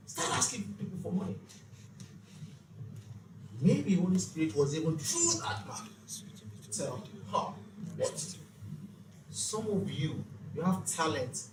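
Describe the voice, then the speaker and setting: In a reverberant room, a man leads a motivational session, picked up a little way from the mic with noticeable noise behind him. He teaches like a preacher, stressing his points and putting rhetorical questions to the group. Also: fairly high pitch. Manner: preacherly, emphatic, uses rhetorical questions and acted-out dialogue. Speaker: speaker leading a financial literacy leadership session. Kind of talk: motivational faith-based teaching talk to a live group. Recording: echoey room, distant mic, clear background noise.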